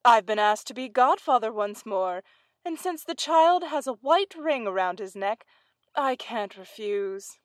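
A woman's voice: a little high, lively intonation, a little slow, no echo. Woman as a sly, drawling storybook tomcat. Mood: coaxing. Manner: dry, offhand, drawling. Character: sly, greedy, smooth-talking male cat.

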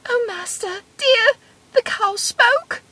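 A woman voicing a frightened, excitable young maid in a storybook tale, with drawn-out alarm. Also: extremely high pitch, pitched above the performer's own voice, full character voice.

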